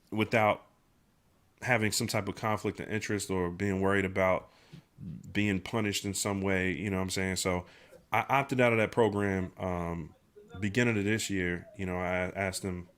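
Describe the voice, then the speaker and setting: Man, nasal, congested, fairly low voice, relaxed livestream host, slangy, filler-heavy talk. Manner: laid-back, slangy, many fillers and restarts. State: nasal from a sinus infection. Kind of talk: casual livestream chat.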